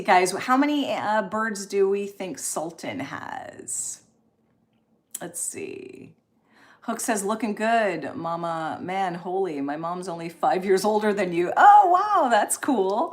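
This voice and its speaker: A woman, hosting a casual live stream and chatting in an encouraging, expressive way as she reads and answers comments. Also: mid-range voice.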